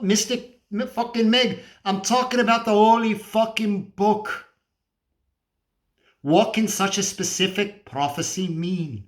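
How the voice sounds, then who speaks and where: A man's voice: fairly high pitch, fairly bright tone, natural voice. A man performing a dramatic monologue as a cynical, feverish, scornful apocalyptic drifter, ranting emphatically and preachily with swearing and rhetorical questions.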